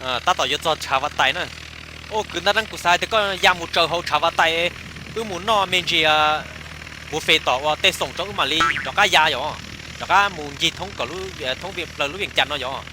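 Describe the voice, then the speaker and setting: Man voicing a high-pitched, fast-talking cartoon character. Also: lightly acted. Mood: explaining. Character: talkative male comedy cartoon character. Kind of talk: comic cartoon dialogue. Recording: clear background noise.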